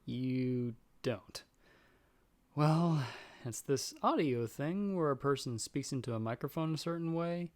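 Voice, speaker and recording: A man's voice: medium pitch, a little slow, close to an everyday voice. A man playing a nervous, friendly young guy in an audio roleplay, talking in a casual, hesitant, self-deprecating way. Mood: sheepish, hesitant. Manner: chatty, hesitant, sheepish. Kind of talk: casual one-sided roleplay dialogue.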